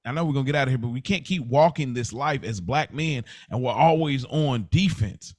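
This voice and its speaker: A man's voice: mid-range voice, brisk, a little mellow, dry recording. A man co-hosting a casual podcast, making points emphatically and building them up through repetition. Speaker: podcast co-host.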